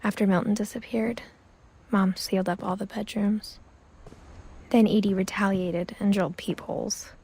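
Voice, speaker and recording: A woman's voice: medium pitch, fairly even intonation, normal speed, mellow, slight background noise, close to an everyday voice. A young woman playing a reflective teenage girl who recalls her family in wistful, understated game narration. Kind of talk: scripted first-person game narration.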